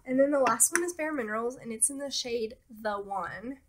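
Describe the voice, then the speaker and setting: A young woman talking casually about beauty products as a vlogger, relaxed and hesitant with many fillers, her nose a little stuffy. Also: fairly high pitch, moderate pace, heavy background noise. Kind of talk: casual product talk to camera.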